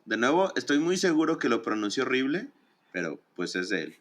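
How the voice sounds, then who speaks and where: A man's voice: medium pitch, very animated, fairly quick, fairly bright tone. A man co-hosting a podcast in casual chat, making tentative, self-correcting remarks. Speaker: podcast co-host.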